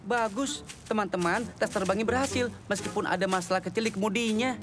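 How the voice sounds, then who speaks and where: An actor voicing a friendly, eager twelve-year-old boy who leads his friends in an animated comedy. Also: medium pitch, fairly quick, natural voice. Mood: upbeat. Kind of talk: animated comedy cartoon dubbing. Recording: noisy ambience behind the voice.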